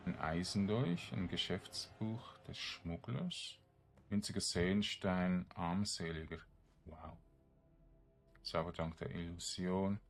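A man giving casual gameplay commentary, thinking aloud in short, halting phrases. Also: low voice. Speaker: let's play streamer.

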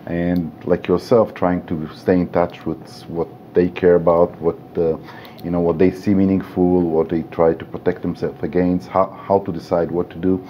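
Israeli guy on a panel, deep voice, bit muffled with faint hiss. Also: little pitch movement. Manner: halting, searching for words. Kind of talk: panel discussion. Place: hotel room studio set. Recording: dull sound, faint room hiss.

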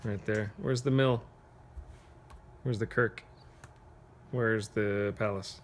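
A man reading a picture book aloud to children, pausing to ask them gentle, encouraging questions. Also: lowish voice, steady tone, a little hiss or hum. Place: carpeted room at home, sitting on floor.

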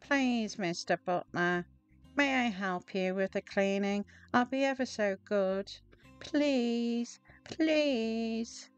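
A woman's voice: fairly high pitch, slow, smooth, muffled recording, soft background music, voice pushed up. A woman voicing an eager, lonely, hapless male patchwork cat from a storybook, earnest and pleading.

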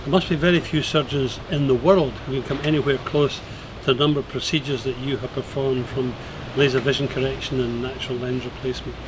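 Older male interviewer, formal delivery, average pitch, noisy outdoor setting. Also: fairly even intonation, a little fast, hardly any pauses. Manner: formal, polished questioning. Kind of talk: scripted interview questions. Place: outdoor terrace by the sea. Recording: outdoor noise behind voice.

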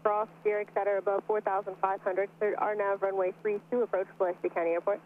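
A woman's voice: medium pitch, little pitch movement, quick pace. Female air traffic controller on thin radio. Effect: aviation radio. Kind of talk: clipped radio clearances. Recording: thin, narrow aviation radio with static.

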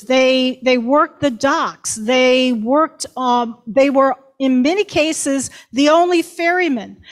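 Slow, earnest woman historian. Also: sits high. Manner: earnest storytelling, emphatic. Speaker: history professor. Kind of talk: academic panel talk.

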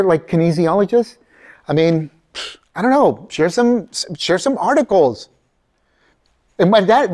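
A middle-aged man giving a motivational career keynote in a college lecture hall, talking straight to the audience as "folks," recorded from a camera out in the audience. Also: high-pitched. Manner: animated, addresses audience as folks, acts out quoted voices. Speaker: keynote speaker. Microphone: far camera in audience.

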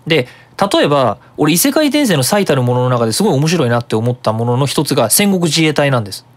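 A web radio host giving a casual, explanatory monologue in an animated manner. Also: medium pitch, fast talker.

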